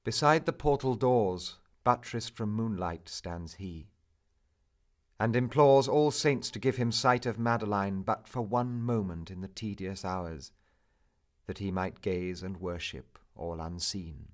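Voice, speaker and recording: Slow, theatrical male poetry narrator. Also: fairly low pitch. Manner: theatrical, measured, emphatic swells and hushed endings. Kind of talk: dramatic narrative poetry recital.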